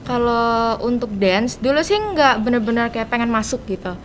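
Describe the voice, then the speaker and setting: A woman's voice: expressive, normal speed. A teenage female student, chatting casually as an interview guest. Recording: clear background noise, low rumble.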